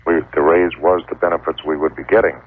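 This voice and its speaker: Middle-aged male caller, very low voice, measured and halting, tinny phone line on radio. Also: little pitch movement, breathy edge. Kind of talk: explaining a problem on a phone-in.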